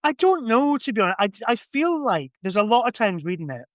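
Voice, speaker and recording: Guy on a podcast, voice a bit high, really dry sound, rambly and hedging as he talks. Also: sing-song, a little fast, no room sound. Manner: hedging, rambling, full of kinda and sort of. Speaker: podcast guest. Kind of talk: casual chat about science fiction books.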